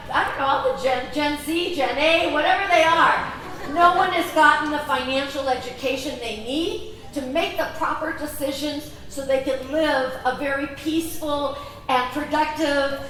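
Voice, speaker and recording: A woman's voice: high-pitched, fairly bright tone. A woman originally from Greece, giving a chatty talk to a convention audience and often asking them questions. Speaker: convention speaker. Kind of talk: presentation to an audience. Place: large convention hall. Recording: echoey hall, voice from far off.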